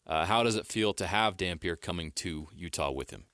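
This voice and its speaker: A man's voice: deep voice, animated, a bit bright, dry recording, clean studio sound. A man hosting a sports radio show, asking pointed questions and rattling off stats. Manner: leading questions, rapid stat rundowns.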